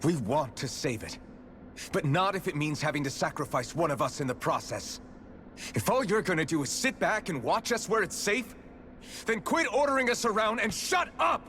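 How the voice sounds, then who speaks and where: A man's voice: high-pitched, animated, moderate pace, bright, forceful tone. Stubborn young hero guy, blunt and defiant, bit of hiss behind. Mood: angry. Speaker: game character. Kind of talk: video game cutscene acting.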